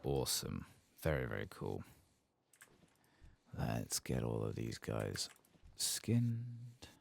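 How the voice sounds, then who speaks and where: A soft-spoken man giving ASMR video game commentary, gentle and unhurried, musing to himself and sometimes slipping into whispers. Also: rumbling low, huge pitch swings, creaky, slight background noise.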